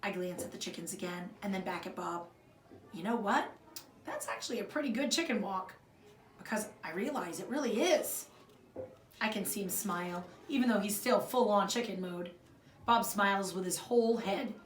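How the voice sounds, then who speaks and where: A woman teacher reading a children's story aloud in an expressive storytelling way. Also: medium pitch, normal speed. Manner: expressive, acts out the dialogue. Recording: roomy echo, distant mic, faint noise.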